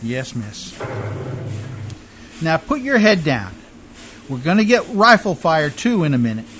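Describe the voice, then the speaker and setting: A man's voice: a little high, big pitch swings, a little slow, fairly bright tone, a little hiss or hum. Man acting a folksy, plucky riverboat man. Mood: urgent, resolute. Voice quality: folksy rustic diction. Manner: deferential, plain-spoken, hearty. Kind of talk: radio play acting.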